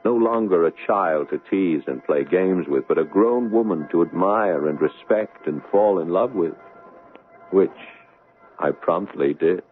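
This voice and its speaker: An actor playing an earnest, haunted young doctor in a 1970s radio drama, wistful and deliberate. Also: male voice, low-pitched, soft background music. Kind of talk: 1970s radio drama acting, intimate narration and dialogue. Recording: muffled old 1970s radio broadcast.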